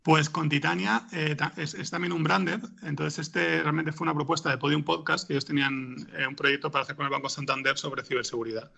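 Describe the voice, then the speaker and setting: A man with a raspy, gravelly voice, answering interview questions in a hesitant way, as if thinking aloud. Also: on the high side, fairly even intonation, a little fast, few pauses, sharp tone. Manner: hesitant, thinking aloud, frequent throat clearing. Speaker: interviewed podcast scriptwriter.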